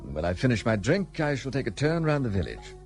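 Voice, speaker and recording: A blunt, guarded gentleman, animated delivery. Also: mid-range voice, a little fast, soft background music. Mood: dry, opinionated. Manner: formal, clipped, brusque. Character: guarded, blunt, bitter British gentleman in colonial India. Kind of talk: period radio play acting.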